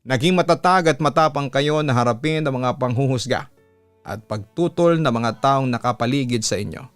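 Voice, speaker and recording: A man's voice: mid-range voice, moderate pace, smooth, no echo. A man with a Filipino accent reads a listener's letter as a scripted story, in the role of a radio announcer and host. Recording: music behind the voice. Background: background music.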